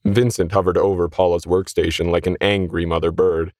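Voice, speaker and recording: A man's voice: sits low, flat delivery, a little fast, darkish tone, no echo, studio quality. A man narrating a scripted short story as its reader.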